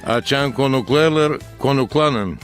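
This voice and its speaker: A man plays an encouraging cartoon bandleader. He instructs patiently and speaks very slowly at an average male pitch. Music runs softly behind him. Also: natural voice. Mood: instructing. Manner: measured, instructing. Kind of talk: animated cartoon voice acting.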